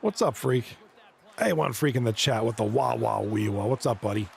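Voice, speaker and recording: Hoarse man calling live hockey games. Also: medium pitch, normal speed, faint music behind, a faint crowd. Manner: casual, chatty, talks to chat viewers. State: hoarse, losing his voice. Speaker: esports league commentator. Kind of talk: live stream play-by-play and chat reading.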